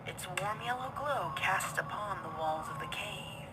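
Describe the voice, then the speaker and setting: A woman reading a horror story as narrator in a dramatic, suspenseful, breathy way. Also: medium pitch, animated, a little slow, very noisy. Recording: loud music under the voice.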